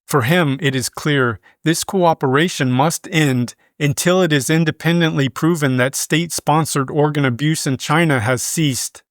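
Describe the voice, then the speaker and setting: A man reading scripted news as a newsreader, in a measured, formal manner. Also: a little high, no echo, studio quality. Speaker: news reader.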